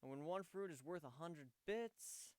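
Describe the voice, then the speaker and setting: A man voicing a gentle, wry male pegasus pony who speaks matter-of-factly in a story reading. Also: fairly high pitch, measured pace, no echo, pitched above the performer's own voice, close to an everyday voice. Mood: explaining.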